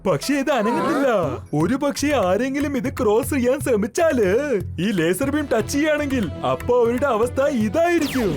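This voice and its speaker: Very high-pitched, fussy cartoon inventor. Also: male voice, lively intonation, fairly quick, a little mellow. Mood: boastful. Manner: fussy, exclamatory, forgetful, repeats words. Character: excitable absent-minded male inventor scientist, comically boastful. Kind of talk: children's cartoon dubbing in Malayalam. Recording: music behind the voice.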